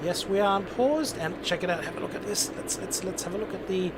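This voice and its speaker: A man's voice: sits high, brisk, heavy background noise. A man hosting a live game stream with casual, enthusiastic commentary, often repeating phrases for emphasis.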